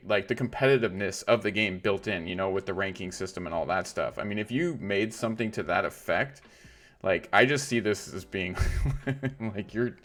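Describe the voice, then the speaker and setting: A man hosting a casual livestream interview, rambling and saying "like" a lot. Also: lowish voice. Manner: rambling, heavy use of "like".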